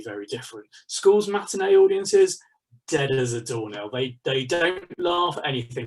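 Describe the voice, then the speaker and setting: A man's voice: medium pitch, poor audio. British man, chatty and informal. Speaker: playwright giving a workshop. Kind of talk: informal online masterclass talk. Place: small home study, indoors. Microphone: video call, computer at desk distance.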